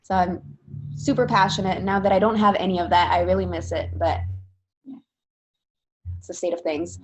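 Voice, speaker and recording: A woman's voice: lowish voice, steady tone, normal speed, rough recording. A young woman from Italy, talking casually in an interview with many fillers and restarts. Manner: chatty, rambling with frequent fillers and restarts. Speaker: interviewee, spin instructor.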